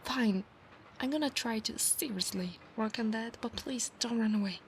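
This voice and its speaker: A woman with an Italian accent playing a proud, obsessive female centaur knight in a soft-spoken, formal ASMR roleplay, often dropping into breathy whispers. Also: on the high side, moderate pace, close to an everyday voice. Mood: pleading. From Italy. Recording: clear background noise, ambience.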